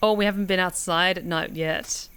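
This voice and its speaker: A woman's voice: fairly low pitch, steady tone, moderate pace, a bit bright, slight background noise. A woman streaming a visual novel playthrough, mixing commentary with reading aloud in a wry, theatrical way.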